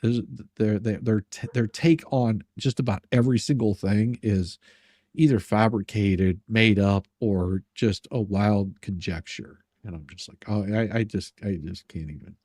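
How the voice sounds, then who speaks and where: A man chatting casually as a livestream host, with a halting, sarcastic manner that often repeats words. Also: lowish voice, mellow, no echo, studio quality. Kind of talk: casual banter.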